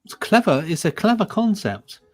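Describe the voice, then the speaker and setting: A man's voice: high voice, big pitch swings, normal speed, slight background noise. A British man co-hosting a podcast in casual, conversational chat. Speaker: podcast co-host.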